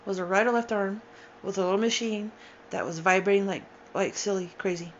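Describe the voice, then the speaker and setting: A woman in her forties telling a painful personal story, halting and emotional, with sighs and restarts, tired and upset. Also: medium pitch, fairly even intonation, dull audio, a little hiss or hum. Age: 40s. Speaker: storyteller sharing a personal account. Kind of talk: unscripted personal testimony.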